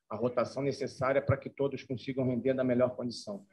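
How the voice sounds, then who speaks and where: A man, a football coach, answering press questions in measured, reflective phrases with short pauses at a table mic in a press conference room. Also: medium pitch, flat delivery, a little mellow, slightly rough. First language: Portuguese. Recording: dull lo-fi stream audio.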